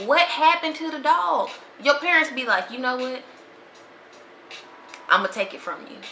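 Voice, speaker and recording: Animated young woman in an echoey room. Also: a little high. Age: 20s. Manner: animated, conversational, slangy. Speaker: podcast host. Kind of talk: casual solo monologue. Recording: echoey room with faint noise.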